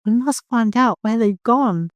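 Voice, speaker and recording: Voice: medium pitch, unhurried, no echo, muffled recording, pitched above the performer's own voice, lightly acted. An audiobook narrator voicing an anxious, pleading woman, a loving and devout nurse, with urgency. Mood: urgent, insistent. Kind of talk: audiobook character acting.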